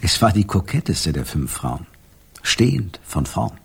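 An actor playing a brooding, jealous man with a husky, breathy voice, formally recalling a night in a radio crime drama. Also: deep voice, sing-song, measured pace, heavily acted. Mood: guilty, brooding.

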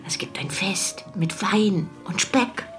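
An insistent woman around forty who coaxes and pleads softly with her friend. It is intimate audio drama, medium in pitch, with faint music behind. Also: lively intonation, slow, in a whisper. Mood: coaxing, pleading. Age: middle-aged.

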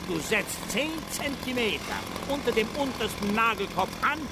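A man's voice: sits high, lively intonation, normal speed, very noisy. An actor playing a domineering, abusive forestry boss who bullies his workers in a radio drama. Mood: menacing.

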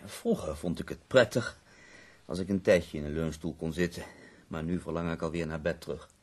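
An actor playing a bedridden, dying poet in a radio drama, ironic, eloquent and given to complaint, with a gravelly, husky voice. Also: male voice, extremely low pitch, darkish tone. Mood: wry. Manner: eloquent, wry, drawn-out pauses.

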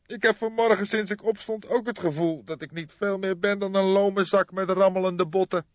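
A man voicing a keen, doubtful young police detective in animated, questioning audiobook dialogue. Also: high-pitched, normal speed, voice pushed up, close to an everyday voice. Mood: concerned, groaning. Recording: dull lo-fi, boxy roomy sound.

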